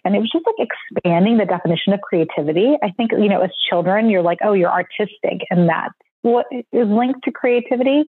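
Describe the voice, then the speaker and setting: A woman's voice: medium pitch, breathy edge, dry recording. Woman on a tinny phone-like line. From Sudan. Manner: chatty, reflective, laughs easily. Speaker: guest, company founder. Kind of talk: podcast interview.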